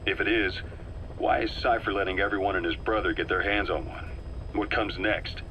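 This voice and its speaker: A wary, embittered mercenary lieutenant weighs threats in a video game scene. His voice is low and forceful, with grave, dramatic emphasis. A slight noise runs underneath. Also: fairly even intonation, moderate pace, rough recording. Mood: grave, suspicious. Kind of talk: scripted video game dialogue.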